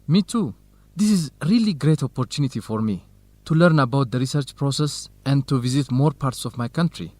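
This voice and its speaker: An actor with an Ethiopian accent playing a polite, modest research partner in an educational radio drama, speaking earnestly. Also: male voice, medium pitch, expressive, moderate pace, darkish tone, no echo, natural voice. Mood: friendly, interested. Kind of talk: radio drama acting, measured educational dialogue.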